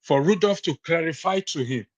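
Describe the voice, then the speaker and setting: A man's voice: a little high, lively intonation, fairly quick, highs cut off. A Nigerian man on a call-in broadcast, firmly insisting that his point is on record. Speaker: caller on a live broadcast. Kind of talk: heated call-in debate.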